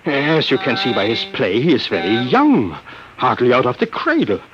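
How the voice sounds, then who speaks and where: An actor playing a nervous, secretive elderly professor and inventor, stammering and fussy, in 1950s radio drama style. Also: male voice, medium pitch, wildly swooping pitch, moderate pace, over music. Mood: earnest. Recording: dull old radio broadcast with hiss.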